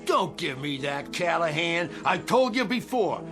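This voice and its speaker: A high-voiced stubborn gambler. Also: male voice, sing-song, unhurried, over music. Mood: indignant. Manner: argumentative. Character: stubborn, indignant male barroom gambler. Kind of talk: comic radio drama acting.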